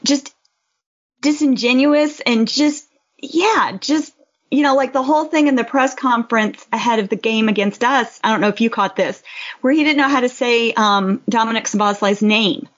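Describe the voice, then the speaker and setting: A woman's voice: on the high side, moderate pace, muffled recording. A woman co-hosting a casual football podcast, talking in an informal, blunt way. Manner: informal, blunt, frequent "you know". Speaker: podcast co-host.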